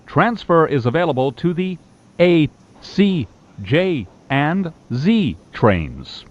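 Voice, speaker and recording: A man reading recorded subway announcements in a slow, measured, evenly spaced way. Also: a little high, very animated. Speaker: transit announcer. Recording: slightly muffled, faint ambience.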